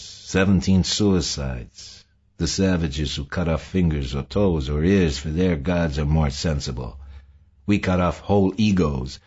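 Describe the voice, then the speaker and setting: A man voicing a shaken old man, tearful and overwhelmed, in a low, husky voice. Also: darkish tone, exaggerated voice. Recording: muffled lo-fi recording.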